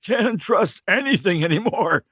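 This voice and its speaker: A man's voice: high-pitched, lively intonation, a little slow, a bit bright, breathy edge, no room sound, clean studio sound, voice pushed up, full character voice. A man voicing a greasy, scared drifter who stammers and whines defensively. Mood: nervous pleading.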